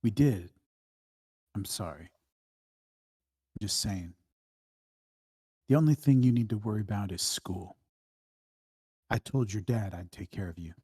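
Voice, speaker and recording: Woman acting reassuring guardian woman, deep husky voice, caring, screenplay table read. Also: slow pace, pauses often, dark tone, exaggerated voice. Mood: apologetic, reassuring.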